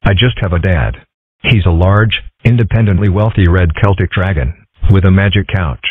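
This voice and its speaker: A man voicing a mild, thoughtful, bookish schoolboy in a comic strip dub, measured, polite and dryly deadpan. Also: sits low, slightly rough, dry recording. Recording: muffled, phone-like narrow sound.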